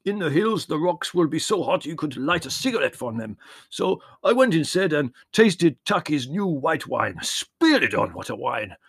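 A man voicing an excitable, hearty old Greek shepherd in a storybook, emphatic and given to repeating himself. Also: a little high, moderate pace, vocal fry, breathy edge, dry recording, studio quality, voice pushed up, heavily acted. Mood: expansive, rueful. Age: older. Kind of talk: storybook character voice.

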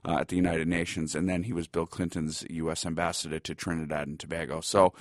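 A middle-aged American man from Boston, telling stories as an interview guest in a halting, measured, conversational way. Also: low-pitched, level tone, no echo, clean studio sound. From Boston, United States. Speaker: interview guest, law lecturer and writer.

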